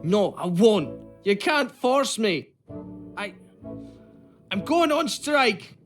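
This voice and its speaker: A man's voice: high-pitched, big pitch swings, takes it slowly, soft background music, exaggerated voice. An actor playing a troubled, earnest young ship's boy in a period drama game. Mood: defiant. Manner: pleading, hesitant, earnest. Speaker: actor in a narrative adventure game.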